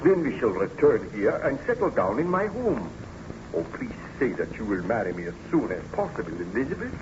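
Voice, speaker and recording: An actor playing an anguished yet ardent baron scientist in old-time radio drama, formal and melodramatic, on an old radio recording. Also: male voice, on the low side, very animated, fairly quick, highs cut off, noisy background. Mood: ardent, earnest.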